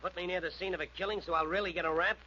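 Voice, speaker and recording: An actor playing a resentful, accusing crook in a vintage radio drama, on a dull, hissy recording. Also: male voice, sits high, fairly even intonation, rapid-fire, pushed and bright. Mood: indignant. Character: resentful male crook, accusing his partner. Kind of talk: 1940s radio drama acting.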